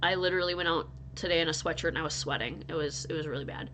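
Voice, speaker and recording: A woman's voice: low voice, rapid-fire, sharp tone, slight background noise. Chatty sniffly woman vlogging from home. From North Dakota. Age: adult, married. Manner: rambling, chatty, with frequent restarts and laughs. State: stuffy and recovering from illness. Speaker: crochet vlogger. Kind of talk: casual chat to viewers.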